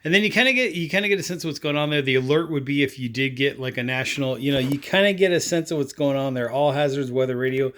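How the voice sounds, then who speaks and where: A man's voice: medium pitch, fast talker, hardly any pauses, a bit bright. Guy rambling thru a product review, laid-back, lots of "kinda get the idea". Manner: rambling, filler-heavy, offhand. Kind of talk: casual unboxing review.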